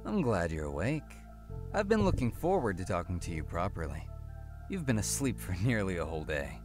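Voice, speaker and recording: Guy acting as a creepy sweet kidnapper in a horror game, super sing-song pitch, music behind. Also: very animated, fairly quick, a little mellow. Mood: doting. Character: polite, doting, creepy male kidnapper.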